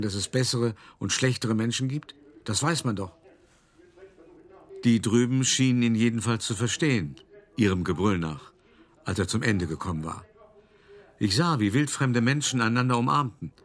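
An actor playing an older, cultivated art dealer who recounts his past in a measured radio play monologue with dramatic pauses. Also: medium pitch, natural voice.

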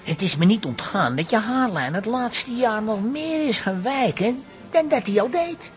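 A man's voice: sits high, expressive, unhurried. An actor playing an eager, slyly persuasive hairdresser with a Netherlands Dutch accent and a teasing salesman's manner in a radio play. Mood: coaxing. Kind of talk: radio comedy-drama acting. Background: music.

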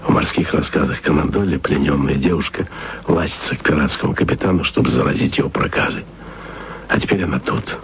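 A deep, low voice of a bookish, jealous man in a radio play, speaking to his lover in a quiet, confiding way. The tone is wry and musing, with a faint hiss in the background. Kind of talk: intimate radio drama monologue.

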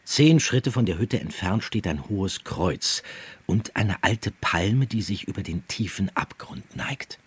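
A man narrating a radio drama in a measured, poetic, descriptive way, as a grave scene-setter. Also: on the low side, animated, husky, full character voice. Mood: hushed, descriptive. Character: grave, unhurried scene-setting male narrator.

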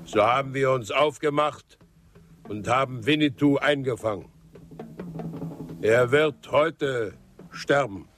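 Slow, solemn, scornful chief played by a man. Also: medium pitch, natural voice. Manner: solemn, declamatory, drawn-out oratory. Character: proud, scornful Comanche chief and father. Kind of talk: German radio drama acting. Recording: studio radio drama with drum music behind.

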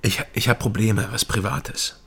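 A man's voice: fairly low pitch, fairly even intonation, unhurried, vocal fry, a bit bright, breathy edge, heavily acted. A man playing a laconic, world-weary East German with a weakness for drink and love, in a radio crime drama with understated delivery. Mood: hesitant. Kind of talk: radio crime drama, dialogue and brooding narration.

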